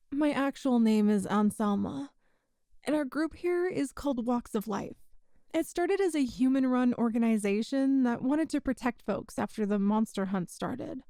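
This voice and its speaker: A woman playing a kind, earnest human rescuer in an ASMR roleplay, speaking in a gentle, reassuring, folksy way to the listener. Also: high-pitched, no room sound, clean studio sound.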